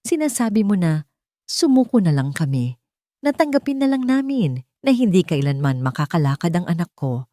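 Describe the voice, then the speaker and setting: Proud, stubborn Filipino businessman father read by a woman, audiobook drama, insistent, very animated delivery. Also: lowish voice, normal speed, no room sound, clean studio sound. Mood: bitter. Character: proud defiant businessman father refusing limits.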